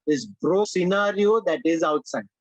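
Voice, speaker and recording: A man from Sri Lanka who speaks English as a second language, leading a speech-writing workshop with animated emphasis and frequent direct address to his audience. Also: high voice, normal speed, rough recording. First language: Sinhala or Tamil. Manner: animated, emphatic, repeats "my dear friends". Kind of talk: online workshop lecture.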